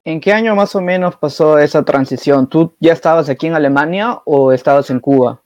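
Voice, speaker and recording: A man's voice: fairly high pitch, a little fast, darkish tone, no room sound, highs cut off. A man interviewing a musician, who mostly gives brief affirmations and then asks long questions.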